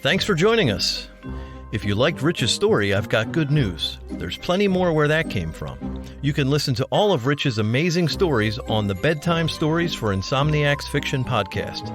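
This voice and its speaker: A man's voice: mid-range voice, big pitch swings, moderate pace. A man hosting a podcast, reading a scripted outro. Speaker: podcast host. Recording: voice over music bed. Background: music.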